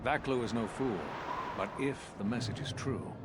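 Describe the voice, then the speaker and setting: An actor playing a wise, calm Jedi master in a video game, measured and cryptic in manner. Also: male voice, medium pitch, very noisy, lightly acted. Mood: grave. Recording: loud music behind the voice.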